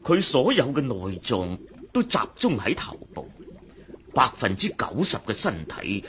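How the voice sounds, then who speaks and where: A man with a Hong Kong Cantonese accent playing a chatty, excitable doctor who explains things eagerly in a radio drama. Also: mid-range voice, unhurried, slight background noise. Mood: eager, explanatory. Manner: chuckling, explanatory, animated. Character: male doctor, chatty, excitable, fond of explaining his pet fish. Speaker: voice actor.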